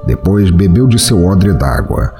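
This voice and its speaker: A man's voice: low-pitched, very animated, a little slow, warm tone, faint music behind. A man with a Brazilian accent narrating a scripted fantasy story as the storyteller of an audio drama.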